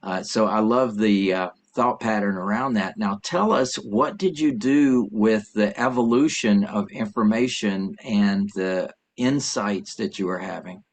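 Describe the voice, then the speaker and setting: A man's voice: fairly low pitch, measured pace, hardly any pauses. Upbeat older man hosting a podcast. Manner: upbeat, enthusiastic. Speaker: podcast host.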